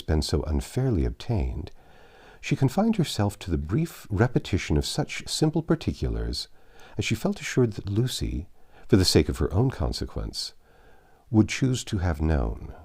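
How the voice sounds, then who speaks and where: A man reading a classic novel aloud as an audiobook narrator, with measured, deliberate phrasing. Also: low voice, dark tone, breathy edge. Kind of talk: scripted literary narration.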